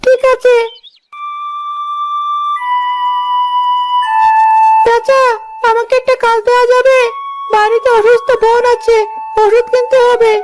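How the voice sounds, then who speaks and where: Loyal little brother, cartoon village tale, female performer. Piping high pitch, flat intonation, pleading, heavy music underneath. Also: normal speed. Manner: earnest, pleading. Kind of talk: cartoon story acting. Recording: prominent music behind the voice.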